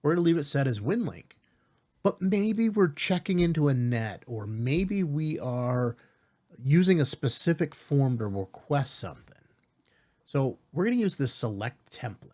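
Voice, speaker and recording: Animated, slightly husky man walking through steps. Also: fairly high pitch, measured pace. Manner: explanatory, checks in with "right?". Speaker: tutorial host. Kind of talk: casual step-by-step software tutorial.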